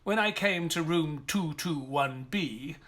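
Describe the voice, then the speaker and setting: A man's voice: a little high, slow pace, fairly bright tone, slight background noise. A man with an English accent playing a proper army doctor, who narrates and speaks with polite, dry exasperation. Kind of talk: audio drama narration.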